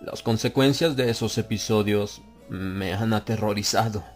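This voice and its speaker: A man narrating a horror tale as an audiobook, in a grave and measured way, stretching key phrases out slowly. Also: on the low side. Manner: grave, measured delivery with drawn-out slow phrases. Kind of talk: dramatic horror audiobook reading. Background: faint harpsichord music.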